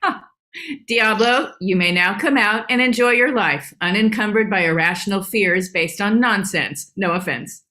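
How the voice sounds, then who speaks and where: A woman voicing a bossy, stubborn, self-assured dog in an animated comedy table read, insistent in manner. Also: mid-range voice, moderate pace, lightly acted. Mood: condescending.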